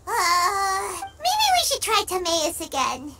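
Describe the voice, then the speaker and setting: Very squeaky, excitable little fairy. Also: female voice, takes it slowly, heavy background noise, exaggerated voice. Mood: musing. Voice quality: squeaky, childlike. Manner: exaggerated, refers to herself in third person. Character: tiny childlike fairy companion, excitable, greedy, whiny girl. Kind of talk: anime-style game voice acting. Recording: music playing loudly behind. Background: loud game music.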